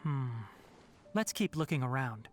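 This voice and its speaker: A young scholar played by a man from a video game, earnest and articulate. His light voice moves with lively inflection over background music, yet stays measured and thoughtful. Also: mid-range voice, lightly acted. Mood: pondering. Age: young adult. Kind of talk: video game character acting.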